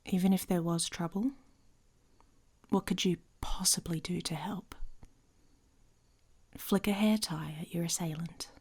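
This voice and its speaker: A haughty she-wolf, acted by a woman in an ASMR audio drama. She speaks slowly in a low voice with a gravelly creak, teasing and sarcastic, and often sinks to a whisper as she gives orders to her reluctant mate. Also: a little mellow. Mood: scornful. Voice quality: husky, breathy. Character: haughty, domineering female werewolf, reluctant mate, mocking and gruff. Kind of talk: intimate ASMR audio roleplay.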